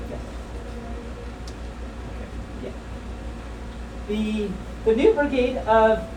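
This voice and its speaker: A woman hosting a live storytime, reading to an audience with playful, jokey, chatty asides. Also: fairly high pitch. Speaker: live storytime host at a fan convention. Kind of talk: reading a comic children's story aloud to an audience, with casual asides. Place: indoor convention room with audience. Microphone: distant camera filming a live event. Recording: distant echoey room recording with background noise.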